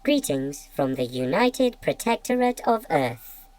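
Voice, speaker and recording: A woman's voice: sits low, very animated, a little slow, noisy background. A woman playing a translator voice box that intones formal official announcements in an audio drama. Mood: coolly official. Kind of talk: scripted audio fiction acting.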